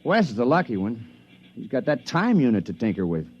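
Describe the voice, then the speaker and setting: A cautious, practical man stranded on an expedition and fretting over it. His voice is mid-pitched and animated. The old radio drama recording is dull and muffled. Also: a little fast, breathy edge. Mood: worried.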